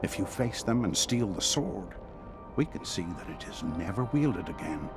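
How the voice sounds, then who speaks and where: A man playing a solemn lore keeper in a video game, telling a dark legend in a grave, measured way. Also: deep voice, sing-song. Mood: ominous. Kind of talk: dramatic game narration. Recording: music behind the voice. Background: game music.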